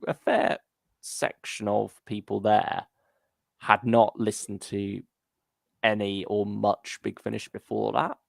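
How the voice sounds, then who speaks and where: A man with a fairly low voice and heavy vocal fry co-hosts a casual fan discussion. He speaks in a hesitant, hedging way, recorded close and dry. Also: flat delivery, takes it slowly, no echo. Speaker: podcast co-host. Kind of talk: casual fan discussion, reading listener comments.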